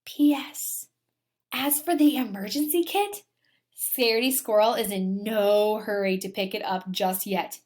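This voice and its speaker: A woman's voice: medium pitch, measured pace, darkish tone. A woman teacher reading a children's story aloud with dramatic emphasis and talking directly to her young pupils. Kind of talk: expressive children's story read-aloud and lesson.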